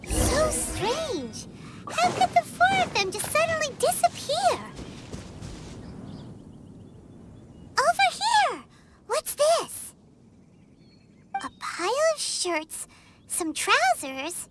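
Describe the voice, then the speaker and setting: A woman voicing a small, curious, childlike companion creature in a video game, squeaky and wide-eyed. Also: sing-song, heavy background noise, heavily acted. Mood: amazed, curious. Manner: wide-eyed, exclaiming, drawn-out words. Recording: loud music behind the voice.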